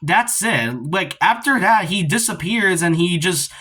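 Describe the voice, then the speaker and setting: A man with a fairly high, very bright and forceful voice talks through his opinions on a video game. He sounds conversational and critical, rambling along casually as a commentator would. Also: expressive, a little slow, husky.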